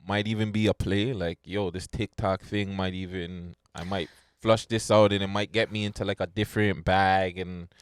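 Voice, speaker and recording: A man's voice: low-pitched, steady tone, normal speed, smooth, dry recording, studio quality. A man hosting a casual interview, talking in hyped street slang with some swearing. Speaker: talk show host.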